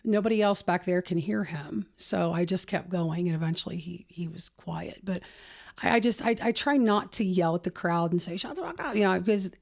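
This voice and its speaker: An older woman with a low voice, a comedian chatting casually on a podcast and rambling through stories with laughs. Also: animated, a little fast, gravelly, a little mellow. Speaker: comedian guest.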